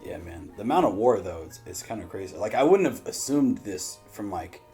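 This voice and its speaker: Low-voiced man chatting in slangy reaction. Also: very animated, fairly quick, mellow, soft background music. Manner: slangy, swearing, thinking aloud. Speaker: reaction show host. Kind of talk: casual reaction commentary.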